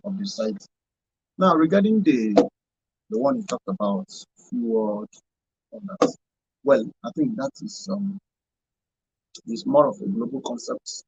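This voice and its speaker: A man's voice: a little high, creaky, a little mellow, breathy edge, dry recording. A man speaking as a guest in a radio discussion, hesitant and searching for words. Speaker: panel guest. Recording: dull lo-fi dry recording.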